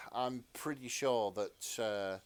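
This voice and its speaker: A man co-hosting a podcast in casual, comedic chat, talking in a halting, rambling, self-deprecating way. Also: mid-range voice, clean tone. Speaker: podcast co-host.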